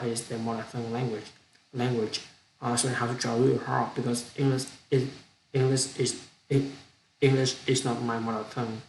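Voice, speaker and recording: Halting young man, Vietnamese accent. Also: mid-range voice, reverberant, slight background noise, poor audio. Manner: halting, with frequent restarts and repeated words. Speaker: student practicing spoken English. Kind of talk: unscripted speaking-practice monologue.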